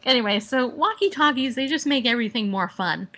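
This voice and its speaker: Bright-voiced woman, casual playful podcaster. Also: a little high, lively intonation, brisk. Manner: playful, rambling, acts out mock exchanges. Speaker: podcast host. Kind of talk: casual solo chat. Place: at home, indoors.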